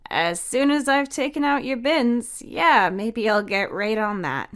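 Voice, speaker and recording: A woman's voice: on the high side, expressive, unhurried, fairly bright tone, voice pushed up. Woman acting kind, shy young woman. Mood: caring.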